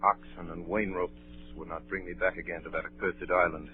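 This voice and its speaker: Actor as deep, grave adventure narrator. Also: male voice, flat delivery, close to an everyday voice. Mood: grave, haunted. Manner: grave, measured storytelling. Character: grown man gravely recalling boyhood adventure. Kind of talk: dramatic narration, 1930s radio acting. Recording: tinny 1938 radio recording, faint music.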